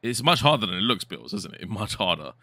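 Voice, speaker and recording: Male stream host, very deep bright voice, casual chatty delivery. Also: very animated, fairly quick, no echo. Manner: chatty, reads viewer comments aloud. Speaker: host and co-commentator. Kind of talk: casual live stream commentary.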